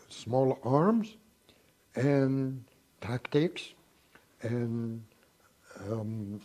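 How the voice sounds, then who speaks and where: A man in his nineties giving an oral history interview, reminiscing in a halting way with frequent pauses and restarts. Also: medium pitch, mellow. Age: 90s.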